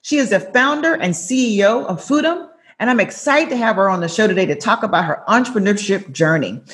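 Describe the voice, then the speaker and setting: A woman's voice: mid-range voice, expressive, brisk. A woman hosting an interview show, upbeat and affirming as she introduces and questions her guest. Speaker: host. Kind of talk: podcast interview.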